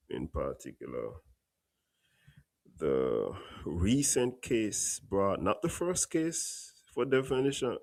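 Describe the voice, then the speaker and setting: A Caribbean man hosting a live political commentary show, a little stuffy from a cold, talking plainly and bluntly in a long unhurried monologue. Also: sits low, vocal fry, a little mellow, no room sound. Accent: Caribbean English. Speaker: host. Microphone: home studio mic.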